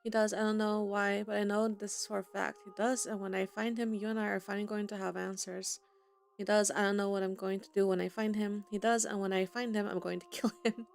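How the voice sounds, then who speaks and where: A woman's voice: mid-range voice, flat delivery, a little fast, vocal fry. A woman gamer giving casual playthrough commentary and reading dialogue choices aloud as she plays. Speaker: gaming streamer. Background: game music.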